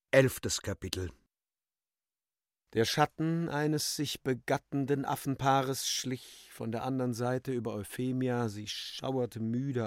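A man narrating a literary radio drama in a deliberate, theatrical manner with sudden hushes and swells. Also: medium pitch, no echo, studio quality.

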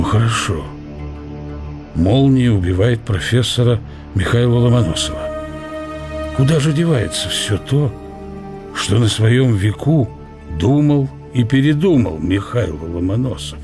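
An actor playing a brooding middle-aged Russian scientist of the eighteenth century in a radio drama, reflective and declamatory, with archaic, bookish diction. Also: male voice, medium pitch, a little mellow. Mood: bitter musing.

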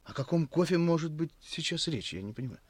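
An actor playing a composed, quick-witted village schoolteacher in a 1960s radio drama. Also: male voice, on the high side, animated, slightly rough, no room sound. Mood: firm, ironic.

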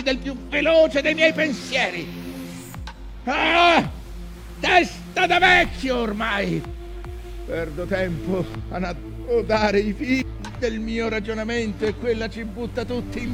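An actor playing an obsessed old whaling captain in a declamatory, intense theatrical monologue. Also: male voice, very high-pitched, heavily acted. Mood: frenzied exaltation, then bitter frustration. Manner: declamatory, intense, swinging from hushed to shouted. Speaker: stage actor. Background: music.

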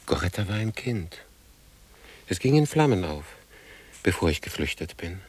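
A haunted war survivor, half drunk and defiant, in a poetic radio drama. His voice is lowish and husky. He swings erratically between breathy murmurs and animated outbursts. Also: normal speed, dry recording, heavily acted. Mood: grim.

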